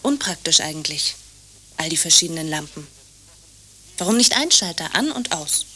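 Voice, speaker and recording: Young female lover, aggrieved, pointed, reproachful, bright forceful tone, radio play. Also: sits low, sing-song, moderate pace, heavily acted. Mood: irritated. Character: aggrieved, jealous young woman, blunt and sharp. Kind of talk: radio play dialogue acting.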